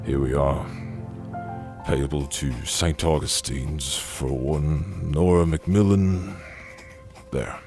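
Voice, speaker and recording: An actor playing a suave, sinister cannibal gentleman in a horror audio drama, gravelly, theatrical and mockingly polite, savouring each cruel word. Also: extremely low pitch, fairly even intonation, slow, music in the background, full character voice. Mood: softened, smug. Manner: theatrical, mock-polite, savouring his words.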